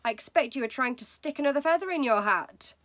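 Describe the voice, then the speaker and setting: A woman voicing a bossy, sharp-tongued middle-aged mother who scolds her husband, a little higher and louder than her own voice. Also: expressive, fast, no echo, clean studio sound. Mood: scolding.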